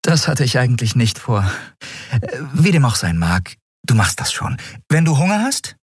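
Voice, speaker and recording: A man voicing a kindly female airship captain in an audiobook, brisk and informal in manner. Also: mid-range voice, very animated, husky, no echo, clean studio sound, pitched above the performer's own voice. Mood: friendly.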